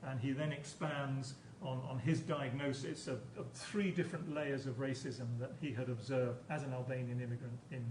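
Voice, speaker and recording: Male professor, hesitant lecture delivery with repeated words, mid-pitched, in an echoey room. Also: steady tone, normal speed, hardly any pauses, darkish tone. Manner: hesitant, repeats words and phrases while explaining. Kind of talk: academic conference lecture. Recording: echoey room, distant mic, background noise.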